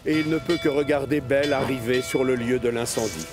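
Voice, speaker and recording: A man narrating a children's cartoon in French, with an expressive storytelling manner. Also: medium pitch, brisk, music in the background.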